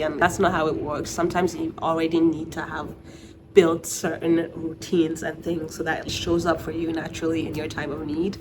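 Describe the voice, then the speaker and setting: A woman's voice: on the low side, noisy background. A young woman from Nigeria in a casual, reflective vlog, chatting with lots of "like". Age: young adult. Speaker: vlogger. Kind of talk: casual talking-head vlog.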